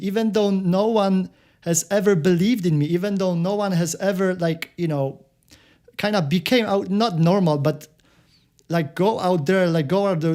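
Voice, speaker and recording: Male podcast host, Polish accent, slightly high voice. Casual, halting, repeats and restarts words. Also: a little mellow. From Poland. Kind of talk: casual interview chat.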